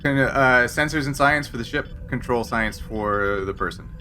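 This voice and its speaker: A man running a tabletop game as game master, explaining the scenario to players in a casual, halting, explanatory way. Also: medium pitch, sharp tone, faint music behind. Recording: noticeable background noise.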